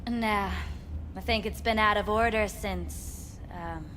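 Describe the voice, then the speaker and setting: Slow, dismissive bored club woman. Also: medium pitch, a bit bright. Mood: irritated, offhand. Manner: dismissive, offhand. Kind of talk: video game dialogue. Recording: echoing room, faint background noise.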